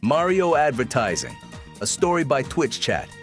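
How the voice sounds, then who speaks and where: A man's voice: fairly high pitch, animated, normal speed, fairly bright tone, faint music behind. A man working as a guest narrator, reading a short comic story aloud dramatically.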